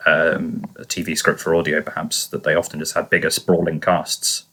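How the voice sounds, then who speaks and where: A man co-hosting a podcast in casual review talk, tentative and measured, carefully qualifying each point he makes. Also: a bass voice, completely flat delivery, sharp tone. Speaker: podcast co-host.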